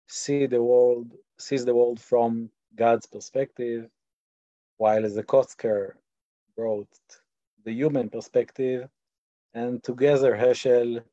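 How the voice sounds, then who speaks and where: Israeli male teacher, slow and halting, dark voice, dull call audio, dry sound. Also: medium pitch, dry recording. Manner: slow, hesitant, many fillers and restarts. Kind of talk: lecture on online video call.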